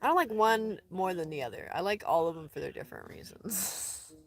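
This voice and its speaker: A woman from Colorado chatting casually on a live stream outdoors in the desert, rambling, laughing often and dropping into soft asides. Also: lowish voice, animated, a little fast. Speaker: van-dwelling traveler streaming live.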